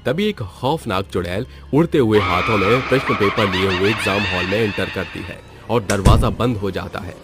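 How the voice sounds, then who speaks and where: A man narrating a cartoon story in an even, scripted storytelling way. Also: lowish voice, moderate pace, hardly any pauses. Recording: background music.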